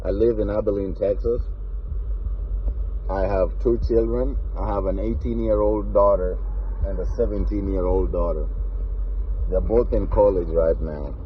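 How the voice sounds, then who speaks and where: Kenyan man, forties, lowish voice, earnest and emphatic, dull lo-fi outdoor sound with faint traffic. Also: fairly even intonation, a little slow, long pauses. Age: 40s. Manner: earnest, emphatic, repeats key phrases. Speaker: vlogger. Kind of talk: casual personal talk to camera.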